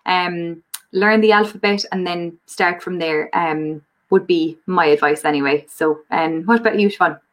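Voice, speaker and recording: A woman's voice: fairly low pitch, normal speed. A woman with an Irish accent co-hosting a live Q&A, giving practical advice on learning the language in a friendly way, with frequent ums. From Ireland. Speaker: co-host and Irish language teacher.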